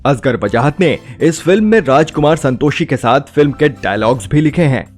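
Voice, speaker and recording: A man's voice: mid-range voice, expressive, moderate pace. A man narrates a scripted film review with emphatic, rhetorical phrasing. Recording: music bed under the voice. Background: background music.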